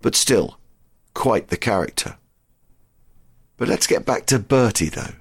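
A man presents as host in scripted narration. His voice is fairly low, and the recording is dry with almost no room sound. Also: expressive, unhurried, creaky, breathy edge, no echo.

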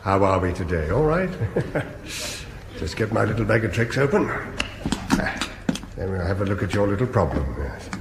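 Jovial English bomb expert, fussy and stammering, lively pitch; noisy vintage radio recording. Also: male voice, moderate pace, long pauses, echoey room, in a large hall. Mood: chirpy. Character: chirpy, dithering male bomb disposal officer. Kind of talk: 1970s radio sitcom acting.

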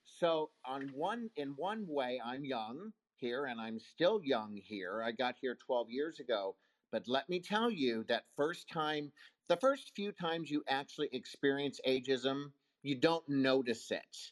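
A man in his fifties, a talk show host, speaking in an animated storytelling way in a casual conversation. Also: medium pitch, a little slow, rough recording. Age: 50s.

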